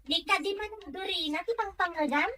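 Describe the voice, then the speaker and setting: A woman's voice: extremely high pitch, fairly quick, crowd noise. A woman playing a spoiled, quarrelsome young schoolgirl in an Ilocano audio drama, snappy and rude. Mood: confrontational. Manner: snappy, confrontational.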